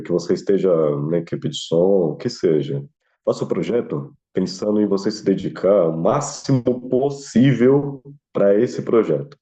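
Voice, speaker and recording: Young brazilian guy, deep-ish soft-toned voice, earnest advice in an interview, slightly dull audio. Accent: Brazilian Portuguese. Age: young adult. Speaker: student filmmaker interviewee.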